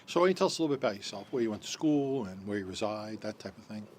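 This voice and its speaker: A man's voice: fairly low pitch, expressive, racing, a little mellow, a little hiss or hum. A man hosting a radio health talk show, asking rambling questions with many uhs. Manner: friendly, rambling questions with fillers. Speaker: radio show host, doctor.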